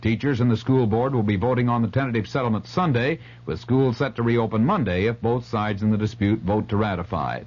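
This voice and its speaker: A man's voice: lowish voice, brisk, few pauses, a little mellow. A man with an American accent reading a scripted local news bulletin on radio. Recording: dull 1970s AM radio recording.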